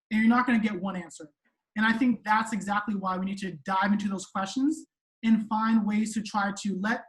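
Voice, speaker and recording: A woman's voice: medium pitch, low-quality recording. Hesitant woman teacher on a video call. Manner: hesitant, self-correcting, full of ums. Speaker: language teacher on a panel. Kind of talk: webinar panel discussion.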